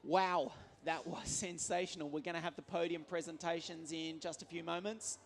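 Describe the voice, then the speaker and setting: Man, race commentator, high voice, excited, live trackside, engine noise behind. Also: normal speed. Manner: enthusiastic, rattles off names and results. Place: trackside at a karting circuit in southern Italy.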